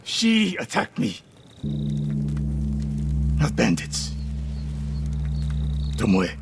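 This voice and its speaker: An actor playing a stern, proud older samurai archery master in a video game, speaking in a measured, haughty, sardonic way. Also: male voice, mid-range voice, wildly swooping pitch, creaky, full character voice. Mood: stern, defensive. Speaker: archery teacher. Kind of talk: dramatic video game acting. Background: background music.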